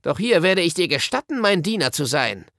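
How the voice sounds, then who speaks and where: A man's voice: a little high, very animated, brisk, gravelly, a bit bright, dry recording, clean studio sound, pitched above the performer's own voice, full character voice. A man voicing an imperious, wrathful witch queen in a storybook, commanding and scornful. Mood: haughty, commanding. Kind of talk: dramatic audiobook reading.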